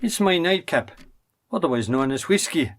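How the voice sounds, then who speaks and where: A man's voice: fairly high pitch, expressive, normal speed. A man acting a jaunty, whiskey-loving Irish countryman in an amateur drama, folksy and jocular. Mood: jovial.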